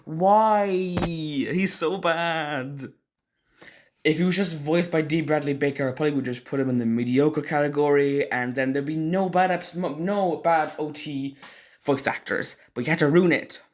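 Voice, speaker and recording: A man's voice: fairly high pitch, unhurried. A man hosting a casual ranking commentary in a hesitant, rambling, opinionated way. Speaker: video host ranking game voice actors.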